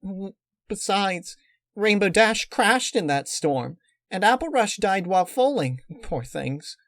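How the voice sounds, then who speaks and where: A man acts out a naive, bubbly girl pony from a cartoon. The voice jumps high and exclaims like a stubborn child, recorded very dry in a dark fan-story reading. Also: very animated, slow pace, no room sound, exaggerated voice. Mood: confused, doubtful.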